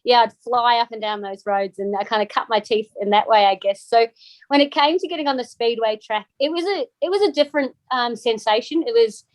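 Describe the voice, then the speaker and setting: A woman's voice: fairly high pitch, fairly quick, dry recording. A middle-aged woman from New Zealand with a Kiwi accent, chatting casually as an interview guest, full of ums and restarts.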